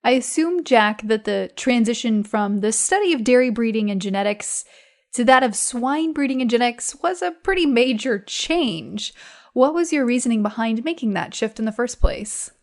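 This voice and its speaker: Woman, podcast host, fairly high pitch, smooth scripted delivery. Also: lively intonation, moderate pace. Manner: polished, reads introductions.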